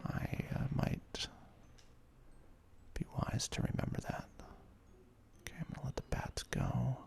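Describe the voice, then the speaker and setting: A man's voice: unhurried, darkish tone. A man in his thirties whispers ASMR video game commentary in a hesitant, self-deprecating, rambling way. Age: 30s. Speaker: ASMR let's play host. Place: apartment.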